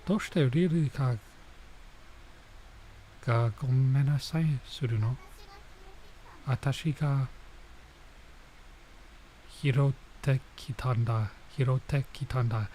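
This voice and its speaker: A man's voice: medium pitch, takes it slowly, pauses often, darkish tone, slight background noise. A man doing a let's play, reading visual novel text aloud and adding casual, off-the-cuff comments, sometimes stumbling over lines.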